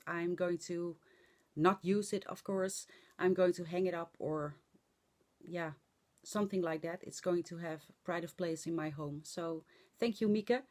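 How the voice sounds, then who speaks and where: Hoarse Dutch woman, slow rambling chat. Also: on the low side, darkish tone. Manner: rambling, halting with many fillers. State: hoarse from laughing and talking. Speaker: flosstube vlogger. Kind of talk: casual chat to camera.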